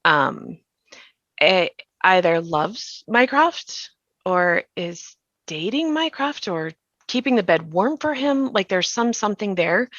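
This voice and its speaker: A woman's voice: mid-range voice, a bit bright. A woman in a reflective conversation, explaining her thoughts carefully and repeating points for emphasis. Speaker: podcast creator and co-host. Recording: slightly muffled, roomy sound.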